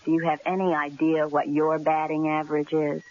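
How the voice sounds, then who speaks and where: An actress playing a pert, inquisitive newspaper secretary in an old-time radio drama, arch and playful. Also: female voice, deep voice, flat delivery, a little fast, a little mellow, lightly acted. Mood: curious, probing. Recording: dull, muffled old radio broadcast recording.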